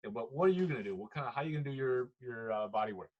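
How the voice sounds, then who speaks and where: A podcast host, chatting casually, laughing often and drifting off topic. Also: male voice, medium pitch, animated, rapid-fire, dull audio. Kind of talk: casual chat.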